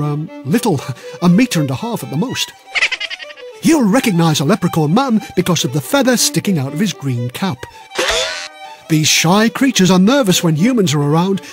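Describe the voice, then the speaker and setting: A man narrates comic history with theatrical, wry delivery. His voice sits high for a man and rises and falls in a very lively way. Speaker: audiobook reader.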